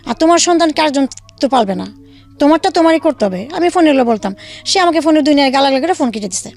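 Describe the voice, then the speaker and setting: A young woman from Bangladesh, telling her life story to an interviewer in a halting way with many fillers. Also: high-pitched, animated, a little mellow, faint music behind. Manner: halting, many fillers and restarts, re-enacts dialogue. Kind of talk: casual spoken storytelling.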